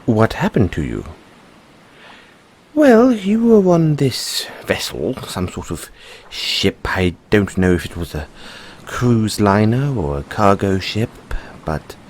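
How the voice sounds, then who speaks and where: A man's voice: lowish voice, a little mellow, slight background noise. A man playing an earnest, cheery merman prince in an audio roleplay, speaking in a theatrical, exclamatory way with genteel, fussy politeness. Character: young, cheery, dramatic, naively earnest merman prince of Atlantis. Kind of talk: audio roleplay addressed to the listener.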